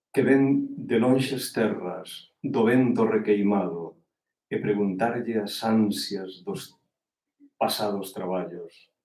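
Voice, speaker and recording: Slow, solemn man reciting poetry. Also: lowish voice, warm tone. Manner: measured, solemn reading with deliberate pauses. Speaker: poet reciting at a tribute. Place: lecture hall. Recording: echoey hall, distant mic.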